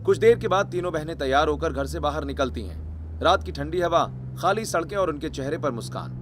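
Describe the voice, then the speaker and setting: A man's voice: a little high, lively intonation, a little fast, slight background noise. A man reading scripted narration for a cartoon family story. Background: background music.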